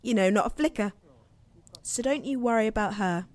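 An actress playing a blunt, protective, exasperated older sister with an English accent in a naturalistic audio drama. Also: female voice, on the high side, moderate pace, darkish tone, lightly acted. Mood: resolute, bitter. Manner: emphatic, blunt, repeating phrases.

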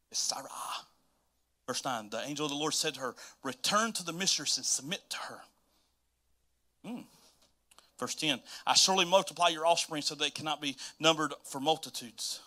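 A man with a Southern US accent preaching an informal, folksy church sermon and reading scripture, talking straight to his listeners and moving between emphatic and hushed delivery. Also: fairly high pitch.

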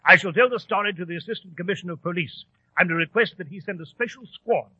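An actor playing a detective's anxious, loyal sidekick, fussy and earnest, in an old-time radio drama. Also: male voice, high-pitched, fast, husky, no room sound. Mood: eager. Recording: muffled, tinny 1940s radio recording.

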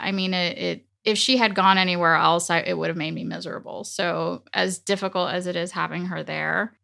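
A woman's voice: on the low side, creaky, pushed and bright. A woman in her forties, raised in the Dominican Republic with Spanish as her first language, telling her story earnestly and reflectively as an interview guest. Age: 40s. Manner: earnest, reflective, laughs lightly. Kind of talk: casual personal storytelling.

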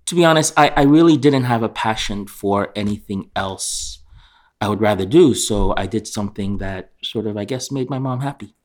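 A man with a fairly low voice talks as an interview guest. His answers are conversational and reflective, coming haltingly with frequent pauses. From born in Korea, raised in England and New York.

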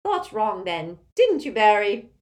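A woman's voice: mid-range voice, big pitch swings, slow, darkish tone, voice pushed up. A woman voicing a haughty, spiteful, tipsy old witch aunt in a storybook, cackling and screechy, with an emphatic gossipy manner. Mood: gleeful, gossipy.

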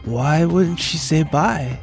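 A man's voice: on the high side, takes it slowly, gravelly, darkish tone, soft background music, pitched above the performer's own voice. A man voicing a distraught, grieving teenage boy, pressing with pleading questions. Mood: anxious, upset.

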